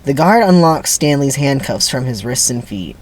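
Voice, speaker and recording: A man's voice: medium pitch, lively intonation, moderate pace. A man doing a theatrical dramatic reading of a screenplay as narrator, with wry asides and faint background noise.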